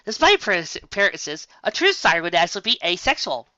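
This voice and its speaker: A woman's voice: lowish voice, sing-song, fast talker, sharp tone, no room sound, dull audio, pitched above the performer's own voice. A woman voicing a bookish young female unicorn scholar who lectures eagerly and precisely. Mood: earnest lecturing.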